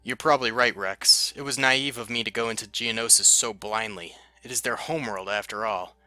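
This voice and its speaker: A man's voice: medium pitch, fairly quick, sharp tone, no room sound. A man voicing a decisive male clone commander who gives clipped military orders. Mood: commanding.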